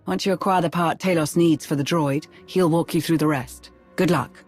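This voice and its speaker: An actor voices a composed game character delivering briefings. The manner is measured, formal and drily businesslike, with music beneath the voice. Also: mid-range voice, fairly even intonation, lightly acted. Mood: dry, composed. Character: composed, dry, businesslike intelligence colleague giving briefings. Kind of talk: video game cutscene acting.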